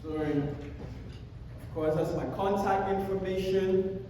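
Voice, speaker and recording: A Cameroonian man in his thirties delivers a keynote with a storyteller's lively touch and wry jokes at his own expense. His voice sits a little high for a man. He is picked up from across a large hall, so his words come with a long, booming echo. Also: slow, slight background noise. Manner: animated storytelling with self-deprecating jokes. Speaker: conference speaker. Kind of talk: motivational keynote talk. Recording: echoey hall, speaker far from mic.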